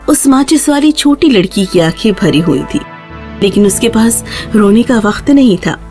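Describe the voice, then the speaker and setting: A woman's voice: fairly high pitch, animated, a little mellow, soft background music. A woman narrating a scripted fairy tale for children as the storyteller.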